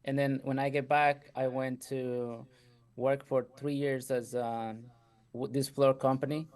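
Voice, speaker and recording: A man's voice: mid-range voice, little pitch movement, unhurried, a little mellow, clean tone. Chatty Ecuadorian-accented businessman. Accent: Ecuadorian Spanish. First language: Spanish. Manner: chatty, laughs often, says "you know" a lot. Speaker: guest entrepreneur. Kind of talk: casual interview storytelling.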